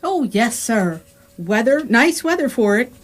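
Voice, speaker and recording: A woman's voice: fairly high pitch, very animated, measured pace. A woman playing a breezy, deferential pub bartender making small talk in a radio comedy. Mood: breezy chat. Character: chatty, unbothered pub bartender serving pints. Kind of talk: radio comedy drama acting.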